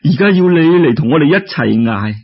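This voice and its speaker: A man's voice: a little high, expressive, a little fast, slightly rough, lo-fi sound, voice pushed up. A man with a Cantonese accent voicing a poor, kindly middle-aged mother-in-law in a folk tale, fussing and sighing with sympathy. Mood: sorrowful concern.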